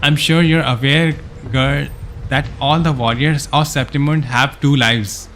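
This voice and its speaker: A man's voice: mid-range voice, steady tone, a little slow, fairly bright tone, slight background noise. A man reading the lines of a haughty, condescending nobleman in a video game, in a commanding manner. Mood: haughty, challenging. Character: haughty nobleman setting deadly gladiator trials.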